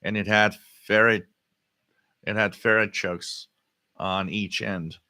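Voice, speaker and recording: A man hosting a casual live hobby stream, answering chat comments in a halting way and often repeating his words. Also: lowish voice, steady tone, bright, forceful tone, no room sound, clean studio sound. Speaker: livestream host.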